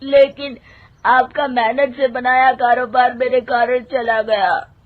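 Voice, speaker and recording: A performer voicing a downtrodden, remorseful younger son in an animated moral story, pleading and sorrowful. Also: high voice, takes it slowly. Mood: distraught, remorseful. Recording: thin phone-like sound, faint noise.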